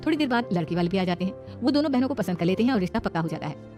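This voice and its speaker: A woman's voice: medium pitch, animated, racing, music in the background. A woman narrating a scripted moral story.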